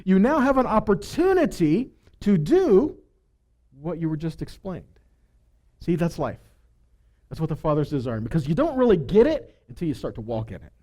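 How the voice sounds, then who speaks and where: A man's voice: on the high side, big pitch swings, moderate pace, darkish tone. A man giving a conversational sermon as a preacher, teaching with rhetorical questions and frequent check-in tags.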